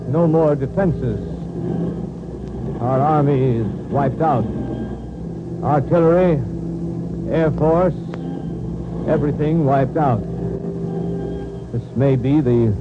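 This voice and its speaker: A man acting a doomed, solemn announcer in 1930s radio drama. He speaks very slowly, in halting clipped phrases. The old recording is dull, with clear musical sound behind him. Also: mid-range voice, close to an everyday voice. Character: grave, doomed male radio announcer reporting invasion.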